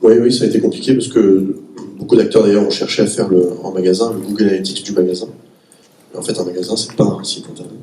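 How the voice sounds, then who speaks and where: A low, flat-voiced man with a cold explains technical details with many restarts. He sits on a panel in an echoey room, picked up from some distance. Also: fast. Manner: explanatory, technical, self-correcting. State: has a cold. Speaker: panelist, startup executive. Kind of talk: round-table discussion. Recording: echoey room, far from the mic.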